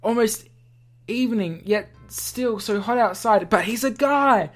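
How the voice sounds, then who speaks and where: A woman's voice: fairly high pitch, measured pace. A woman doing a casual let's play, reading visual novel text aloud and breaking in with chatty comments of her own. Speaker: gaming video host. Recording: game music behind the voice. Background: video game music.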